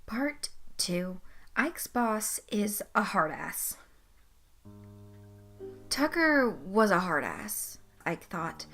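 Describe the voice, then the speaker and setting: A woman's voice: mid-range voice, sing-song, very slow, long pauses, fairly bright tone, soft background music. A woman reading scripted fan fiction as its narrator.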